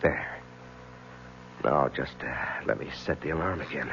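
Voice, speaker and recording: Deep-voiced, conflicted young attorney. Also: male voice, measured pace, vocal fry, fairly bright tone, a little hiss or hum, full character voice. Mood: tender, weary. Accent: American. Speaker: actor narrating and playing the lead. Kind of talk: 1970s radio drama acting. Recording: dull lo-fi vintage radio recording.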